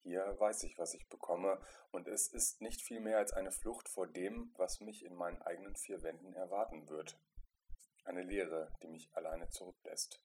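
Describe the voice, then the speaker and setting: A man narrating a first-person literary story in an introspective, dramatic way, with emphatic swells and quieter drops. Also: sits low, steady tone, normal speed, a little hiss or hum. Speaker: narrator reading a short story.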